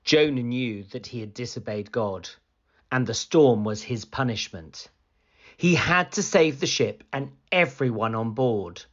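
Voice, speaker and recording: Storybook reader, male, slow, animated read-aloud delivery, muffled lo-fi recording. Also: mid-range voice. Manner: slow, expressive storytelling, rising for excitement. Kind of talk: children's picture book read-aloud.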